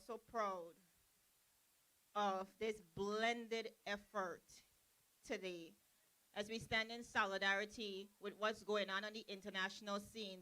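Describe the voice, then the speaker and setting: A young woman from Guyana, giving a measured, earnest speech as a poet at an outdoor rally. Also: on the high side, pushed and bright, rough recording. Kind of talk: reflective speech.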